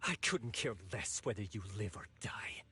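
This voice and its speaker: An actor playing an ambitious, ruthless zealot in a video game, husky-voiced, bitter and taunting in a theatrical way. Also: male voice, on the low side, sing-song, normal speed, pushed and bright, in a whisper, soft background music, exaggerated voice. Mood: mocking, scornful. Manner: theatrical, taunting, sneering villain delivery. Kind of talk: dramatic video game voice acting.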